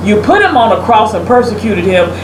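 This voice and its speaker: A woman's voice: mid-range voice, sing-song, a little fast. A woman host giving opinionated commentary in conversation, in an emphatic, preacherly manner full of rhetorical questions. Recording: roomy echo, clear air-conditioning-like background noise.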